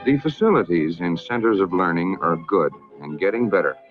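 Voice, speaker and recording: An American man narrating a scripted 1960s public information film in a formal, measured, emphatic manner. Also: low voice, expressive. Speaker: documentary narrator. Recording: narrow-band vintage film soundtrack, music behind.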